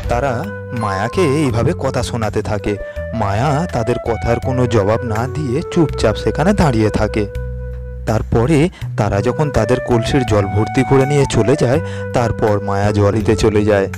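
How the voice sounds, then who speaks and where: A man narrating a scripted cartoon moral tale in a steady, measured way. His voice sits in an ordinary middle pitch. Cartoon music plays clearly beneath the narration. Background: cartoon background music.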